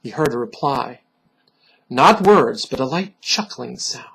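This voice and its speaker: Husky man reading dark horror tales dramatically. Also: medium pitch, expressive, rough recording. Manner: theatrical, solemn, deliberate delivery with hushed drops. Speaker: storyteller, reader.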